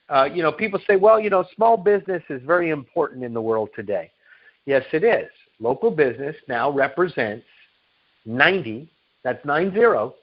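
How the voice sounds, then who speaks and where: A man giving an emphatic promotional sales presentation as a company executive, repeating phrases and answering his own rhetorical questions. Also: fairly high pitch. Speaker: company chief executive pitching on a conference call. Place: conference call. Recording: dull, lo-fi conference call recording.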